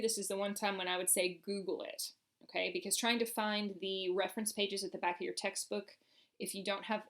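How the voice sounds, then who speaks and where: A woman gives a recorded language lesson as a French teacher. Her voice sits at an average pitch for a woman. She explains grammar in an instructional way and sounds out examples with deliberate care.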